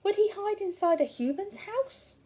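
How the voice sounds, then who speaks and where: A woman's voice: very high voice, animated, moderate pace, mellow, pitched above the performer's own voice. A woman voicing a huge, hungry, self-pitying giant who complains with sarcastic emphasis as he tells his own storybook tale. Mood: indignant. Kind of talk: first-person storybook narration read aloud.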